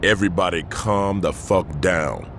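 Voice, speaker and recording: A cold gang boss in a game cutscene, speaking in a very deep, measured, authoritative voice. Low engine rumble runs under the game audio. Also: male voice, a bit bright. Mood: commanding.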